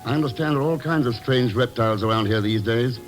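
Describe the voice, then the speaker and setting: Radio lead: male actor as terse investigator, middle pitch, businesslike, dryly courteous. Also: quick pace, lightly acted, low-quality recording. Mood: wary, businesslike. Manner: matter-of-fact, dryly polite. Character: cool, terse American adventurer investigator. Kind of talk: old-time radio drama acting. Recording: vintage radio recording, faint music.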